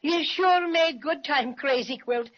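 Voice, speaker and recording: A spunky little toy bear. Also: female voice, high-pitched, over loud music. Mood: plucky. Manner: brisk slangy. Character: small cheerful stuffed toy bear, male. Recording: muffled 1930s radio transcription, faint hiss.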